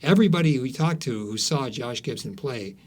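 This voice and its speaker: A man speaking as an author guest in an interview, telling stories in a halting, emphatic way that repeats phrases. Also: mid-range voice, animated, slight background noise. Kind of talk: conversational storytelling interview.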